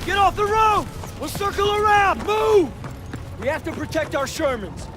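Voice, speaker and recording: An actor playing a commanding American army squad leader in a war game, barking urgent orders in an American accent. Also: male voice, very high voice, big pitch swings, normal speed, noisy background. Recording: battle game audio under loud music. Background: loud music, gunfire, vehicles.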